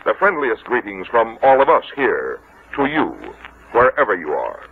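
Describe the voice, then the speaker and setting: A man working as a radio announcer, reading scripted 1950s sponsor messages with formal, deliberate phrasing. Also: mid-range voice, big pitch swings, slightly rough. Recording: tinny narrowband vintage radio, music behind.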